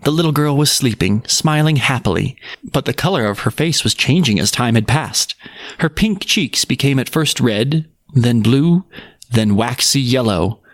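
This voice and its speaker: Expressive man narrating, dry close sound. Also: medium pitch, moderate pace, no echo. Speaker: podcast host and storyteller. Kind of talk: scripted folk tale narration.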